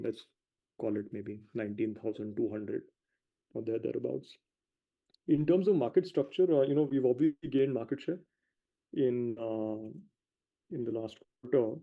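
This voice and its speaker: A male company executive giving measured, explanatory answers on an earnings call, hedging and pausing between short phrases. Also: mid-range voice, vocal fry. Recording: dull lo-fi conference call audio.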